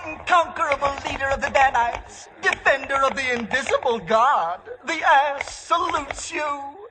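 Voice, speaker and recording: An actor playing a mocking court jester in a 1950s radio drama, drawling theatrically and braying like a donkey. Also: male voice, very high voice, lively intonation, measured pace, background noise. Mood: gleeful mockery.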